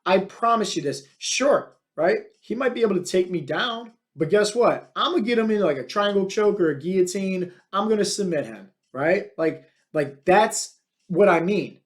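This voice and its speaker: High-voiced energetic man coaching. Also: moderate pace. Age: 30s. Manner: energetic, filler-heavy, many "like" and "right?"; blunt, motivational. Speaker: fitness coach and podcast host. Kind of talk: solo podcast monologue.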